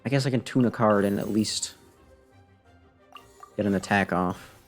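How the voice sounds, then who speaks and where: A man's voice: on the low side, normal speed, soft background music. A man doing casual live game commentary, grumbling wryly and talking to himself and the game. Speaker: game streamer. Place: live stream, game music behind the voice.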